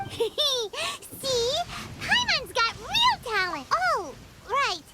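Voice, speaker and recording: A woman voicing a tiny, cheeky, excitable fairy companion girl in an anime game, squeaky, childlike and perky. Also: drawn-out words, background noise, full character voice. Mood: gleeful, proud. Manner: refers to herself by name, perky.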